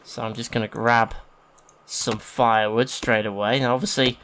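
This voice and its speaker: A man's voice: medium pitch, little pitch movement, moderate pace, a bit bright, a little hiss or hum. An English man with a sniffly hay-fever nose gives casual, chatty, self-deprecating let's play commentary as a gaming host. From England.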